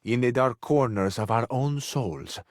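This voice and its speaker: An actor playing a romantic Italian lover in a video game, with an Italian accent and a flowery, courtly, tenderly flirtatious manner. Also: male voice, medium pitch, expressive, a little slow, dry recording, clean studio sound, natural voice. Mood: yearning, flirtatious. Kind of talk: video game character acting, romantic dialogue.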